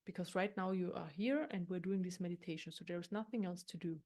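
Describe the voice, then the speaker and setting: Woman gently guiding a meditation. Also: on the low side, steady tone, quick pace. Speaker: meditation guide. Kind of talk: guided meditation, unscripted narration.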